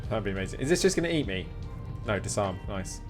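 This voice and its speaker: A man's voice: on the low side, very animated, fast, over music. A man live streaming a game, chatting casually in a rambling, jokey way. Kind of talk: casual gaming chat.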